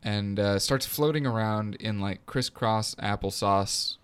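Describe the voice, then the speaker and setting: Smooth, lowish man, chatting. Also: unhurried, a bit bright. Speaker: film podcast co-host. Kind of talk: casual film discussion.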